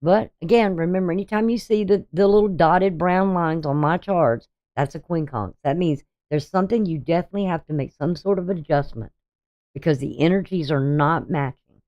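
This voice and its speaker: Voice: medium pitch, normal speed, darkish tone, dull audio. An astrologer in their sixties talking casually through a daily chart reading, rambling and hesitant, with many ums and restarts. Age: 60s.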